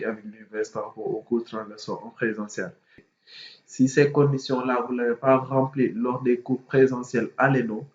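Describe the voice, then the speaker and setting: A man talks students through procedures, his voice stuffy from a cold. He speaks in a halting way, with lots of fillers and restarts. The recording is dull and lo-fi, with a roomy echo. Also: mid-range voice. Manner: hesitant, many fillers and repetitions. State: has a cold, congested voice. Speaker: student mentor. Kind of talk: informal explanatory video.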